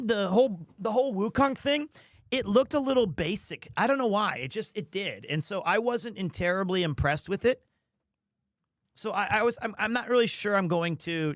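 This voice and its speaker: A man's voice: sits high, a bit bright, dry recording, clean studio sound. A man hosting a livestream, chatting casually in a rambling, opinionated and playfully defensive way. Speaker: live streamer, host of the show. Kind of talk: casual livestream chat with viewers.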